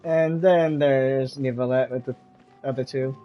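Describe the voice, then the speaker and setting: A man's voice: medium pitch, fairly even intonation, a little slow, lo-fi sound, faint music behind. A man streaming a game, giving casual live commentary in muttered reactions.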